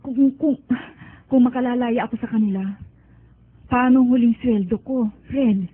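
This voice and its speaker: A woman's voice: a little high, normal speed, slight background noise, close to an everyday voice. A woman playing a desperate runaway Filipina migrant maid in a radio drama, with an anxious, pleading manner. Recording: thin phone-like radio sound, music behind.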